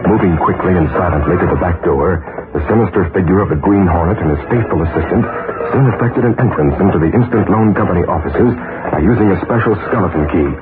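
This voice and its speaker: A man's voice: low-pitched, quick pace, loud music behind the voice, close to an everyday voice. A man narrating a 1940s radio drama in a dramatic storytelling manner, on an old tinny radio recording.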